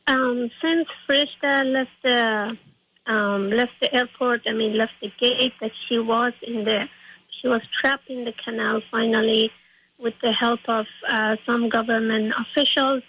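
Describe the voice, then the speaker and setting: Afghan woman in an interview, kinda high voice, halting and earnest, noticeable background noise. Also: steady tone, fairly bright tone, rough recording. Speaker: interview guest. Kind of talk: spontaneous interview answers.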